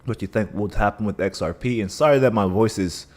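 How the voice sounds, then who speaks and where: Man, scratchy and dry-mouthed, low voice, loose live-stream chart talk with restarts. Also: brisk, darkish tone. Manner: thinking aloud, restarts, hedging. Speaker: livestream trading host. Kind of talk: casual live chart analysis.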